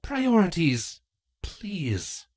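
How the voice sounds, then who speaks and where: A woman's voice: on the low side, big pitch swings, a little mellow, voice pushed up, exaggerated voice. Woman voicing a regal, commanding changeling queen. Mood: stern. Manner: measured, commanding, formal.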